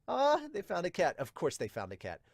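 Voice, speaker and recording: Animated male manga reaction host. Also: extremely high pitch, dry recording. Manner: excitable, breaks off to laugh and exclaim. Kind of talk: animated reaction and read-aloud.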